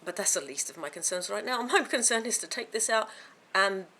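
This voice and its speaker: Croaky woman chatting rambly to camera. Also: mid-range voice, lively intonation, brisk, sharp tone. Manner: rambling, chatty, many fillers and restarts. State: hoarse, croaky from a sore throat. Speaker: vlogger. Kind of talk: casual chat to camera.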